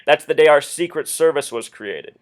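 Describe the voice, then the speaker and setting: A man with a somewhat high-pitched voice talks as a caller laying out his case. He is earnest and insistent, explaining point by point with deliberate phrasing and pointed questions. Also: animated. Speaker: caller phoning the police with a theory. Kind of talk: earnest explanatory phone monologue.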